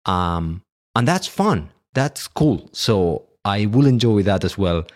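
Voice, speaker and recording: A middle-aged man with a Catalan accent, speaking English as a second language in a halting solo monologue with many restarts and fillers. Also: lowish voice, big pitch swings. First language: Catalan and Spanish. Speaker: podcast host.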